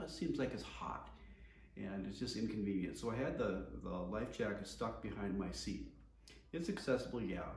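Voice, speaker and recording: A man's voice: on the low side, darkish tone. A man in his sixties, plain-spoken and folksy, explaining his homemade kayak fishing gear in a casual how-to video at home, with lots of "uh" fillers. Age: 60s. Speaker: DIY kayak angler. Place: home room with wood floor. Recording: echoey room.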